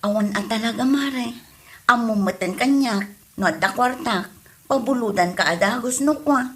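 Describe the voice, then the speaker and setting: A woman's voice: a little high, normal speed, lightly acted. A woman with a Filipino accent acting an apologetic, exasperated farmer's wife in a podcast comedy drama.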